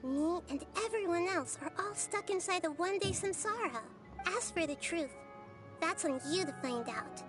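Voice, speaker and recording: A woman voicing a wise, childlike little deity in a video game, composed, knowing and measured in manner. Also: very high voice, expressive, music in the background. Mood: calm, knowing.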